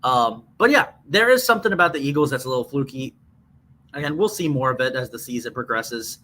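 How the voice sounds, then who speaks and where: Guy hosting a sports pod, sharp bright voice, blunt, swears a lot, just casual banter. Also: medium pitch, animated, a little fast. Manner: profane, blunt. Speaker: sports podcast host.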